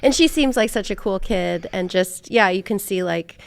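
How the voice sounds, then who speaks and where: A woman's voice: medium pitch, moderate pace, vocal fry, clear, resonant tone. A woman hosting a podcast, talking casually and asking questions in a conversational interview. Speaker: podcast host.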